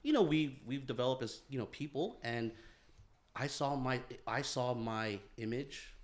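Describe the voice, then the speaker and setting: A man's voice: medium pitch, animated, unhurried. A young man co-hosting a podcast in casual chat, rambling and swearing, often laughing and repeating himself. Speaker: podcast co-host.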